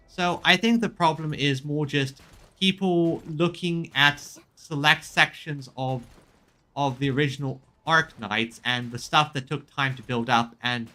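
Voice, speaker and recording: A man streaming a game, chatting casually in a halting way, often repeating and rephrasing himself mid-sentence. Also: a little high, pushed and bright. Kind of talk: casual chat commentary on a game. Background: music in the background.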